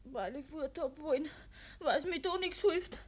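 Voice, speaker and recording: A woman's voice: high-pitched, unhurried. An actress playing a desperate, shamed young village woman in a German radio play, crying as she speaks Bavarian dialect. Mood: anguished, tearful. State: tearful, crying.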